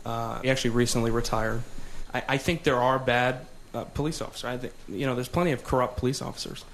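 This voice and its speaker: Young dude, flat monotone, keeps hedging, faint room noise. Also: male voice, medium pitch, quick pace, poor audio. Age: 20s. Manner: hesitant, hedging.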